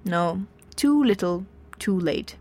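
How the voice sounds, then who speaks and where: A woman's voice: mid-range voice, expressive, takes it slowly, darkish tone. Woman voicing a callous, embittered alien captain. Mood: cold, probing.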